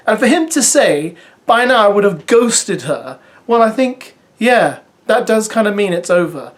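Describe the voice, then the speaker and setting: A parent vlogging casual commentary on a reality dating show, chatty with sarcastic asides. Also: medium pitch. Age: adult parent. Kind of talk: casual spoken commentary to viewers.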